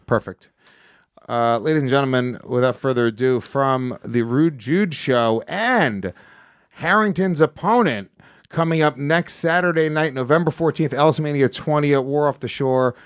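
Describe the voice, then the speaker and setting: A man hosting a podcast and introducing a fighter, talking in a quick, questioning way. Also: medium pitch, clear, resonant tone, no room sound, studio quality. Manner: hype announcer, then quick questions. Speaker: podcast host. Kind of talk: casual podcast chat, hosting a fight promo.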